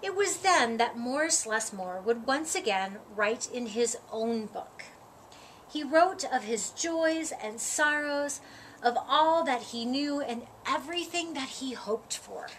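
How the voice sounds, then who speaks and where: A woman reading a children's story aloud, with expressive, deliberate phrasing and dramatic emphasis. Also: high voice, low-quality recording. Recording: audible background noise.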